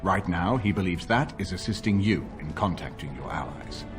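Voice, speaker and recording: A man's voice: low voice, animated, moderate pace, faint music behind. A man playing a stately older nobleman in a fantasy game, formal, sober and authoritative. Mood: uneasy. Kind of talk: video game cutscene acting.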